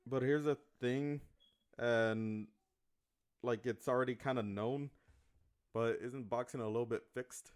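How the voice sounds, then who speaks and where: A man's voice: medium pitch, steady tone, unhurried, long pauses, clear, resonant tone. A man co-hosting a podcast who asks questions and floats theories in casual chat. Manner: questioning, speculative. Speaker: podcast co-host.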